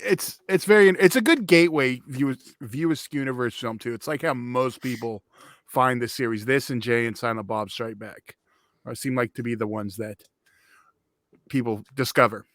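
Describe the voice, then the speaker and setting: A man's voice: medium pitch, moderate pace, a bit bright, no room sound, studio quality. A man co-hosting a podcast, talking casually about films in an off-the-cuff review. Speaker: podcast co-host. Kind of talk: casual film review chat.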